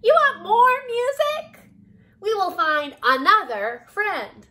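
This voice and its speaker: A woman's voice: lively intonation, sharp tone, full character voice. A woman voicing an eager, oblivious cricket in a children's storybook, exclaiming and stretching out words. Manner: shrill, exclaiming, drawn-out words. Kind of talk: children's storybook read-aloud.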